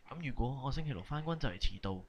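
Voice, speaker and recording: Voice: mid-range voice, expressive, fast talker, slight background noise, lightly acted. A performer of unclear sex playing a glum student who sighs over small everyday problems in an amateur radio skit, plaintive in manner. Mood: glum, complaining. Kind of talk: comic skit acting for a school radio drama.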